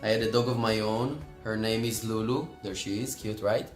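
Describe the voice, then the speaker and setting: A man's voice: fairly low pitch, steady tone, moderate pace. A young Israeli man speaks in a casual, anecdotal way. His voice sounds roomy and echoey, with faint music behind him. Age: 20s. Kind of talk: interview storytelling.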